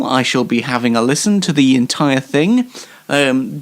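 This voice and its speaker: A man hosting an amateur radio show in a casual, rambling way, hesitant and wryly self-deprecating. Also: a little high.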